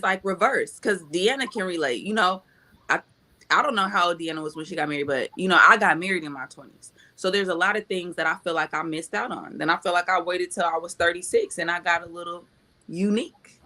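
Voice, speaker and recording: A woman's voice: on the low side, a little fast, pushed and bright. A woman in her thirties on a podcast panel, talking bluntly and unapologetically in casual, slangy speech. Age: 30s.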